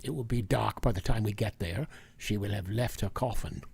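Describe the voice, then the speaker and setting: An actor playing a grave older professor who hunts vampires in a radio drama, lecturing with hesitant deliberation in a gravelly, husky voice. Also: male voice, fairly low pitch, moderate pace, darkish tone, full character voice. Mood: grave, instructive. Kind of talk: vintage radio drama acting.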